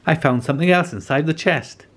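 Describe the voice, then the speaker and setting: A man voicing an eager, excitable female pirate who cries out urgently in a children's storybook. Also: a little high, fairly quick, pitched above the performer's own voice, natural voice. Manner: crying out, exclamatory.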